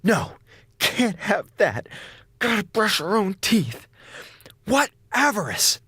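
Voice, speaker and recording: Sardonic, high-voiced space officer. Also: male voice, very animated, takes it slowly, bright, forceful tone, breathy edge, no echo, clean studio sound, heavily acted. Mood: bitter sarcasm. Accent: American. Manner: theatrical, sarcastic griping. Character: sardonic, aggrieved male space station communications officer. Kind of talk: radio drama acting, comic log monologue. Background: music.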